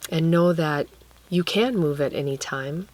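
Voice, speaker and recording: A woman guiding a relaxation meditation, speaking gently and leaving long pauses between phrases. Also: sits low. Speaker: guided meditation teacher.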